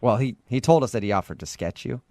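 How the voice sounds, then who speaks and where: A man's voice: medium pitch, expressive, fast, mellow, no room sound. A man hosting a radio show, talking in casual banter on a call-in segment.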